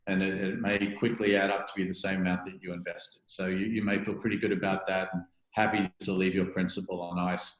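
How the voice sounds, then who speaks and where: A man being interviewed as a guest, explaining things conversationally and often tagging on "right?". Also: lowish voice. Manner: explanatory, many restarts and "right?" tags. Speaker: venture capital partner, guest. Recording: echoey room.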